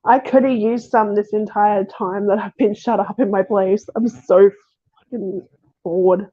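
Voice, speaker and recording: A woman streamer with a croaky, sniffly voice from being sick, chatting casually and laughing often. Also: medium pitch, steady tone, measured pace, warm tone. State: croaky voice from a cold. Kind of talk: casual live stream chat. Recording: dull lo-fi sound.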